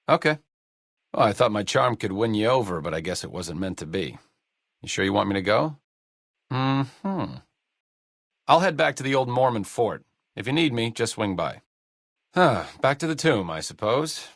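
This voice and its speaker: A man's voice: fairly low pitch, a bit bright, dry recording, heavily acted. A man playing a wry, bookish doctor companion in a video game, with a dry, educated, self-deprecating manner. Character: wry, bookish adult male doctor companion, dryly sardonic.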